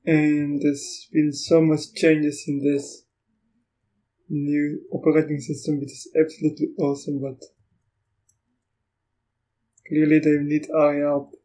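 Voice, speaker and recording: A man whose first language is Afrikaans gives a casual walkthrough of software while recording his screen, thinking aloud in halting phrases. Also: on the high side, monotone. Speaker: Linux software reviewer.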